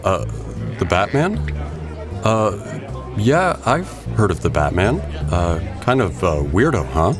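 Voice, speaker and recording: A man's voice: sits low, big pitch swings, slow pace, full character voice. A man playing a young wealthy vigilante who poses as an easygoing socialite, talking casually in an audio drama. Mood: awkward, evasive. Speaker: voice actor. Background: music.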